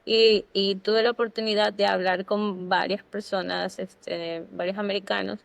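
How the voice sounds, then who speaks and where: A woman's voice: mid-range voice, unhurried, vocal fry, no echo. A woman from Ecuador co-hosting a podcast, telling personal anecdotes in a casual way. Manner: animated anecdotes with fillers. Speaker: podcast co-host. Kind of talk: casual storytelling.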